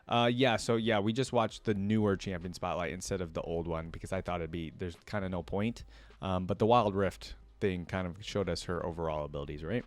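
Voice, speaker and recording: A man's voice: lowish voice, no room sound. A man hosting a reaction video in a casual, chatty way, reading aloud and adding his own musing asides. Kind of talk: casual commentary, reading aloud from wiki trivia.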